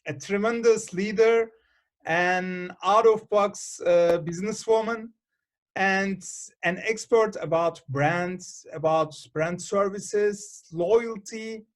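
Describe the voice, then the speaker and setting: A middle-aged man with a Turkish accent hosting a video call interview, halting with many fillers and restarts. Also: high-pitched, steady tone. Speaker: host and consultant.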